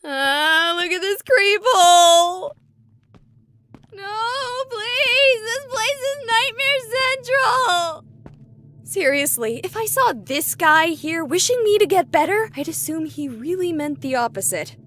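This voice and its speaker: A woman playing an excitable, chatty young streamer exploring a haunted place in a visual novel, with an expressive, playful delivery. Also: extremely high pitch, takes it slowly, a little hiss or hum, exaggerated voice. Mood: dread, exasperated.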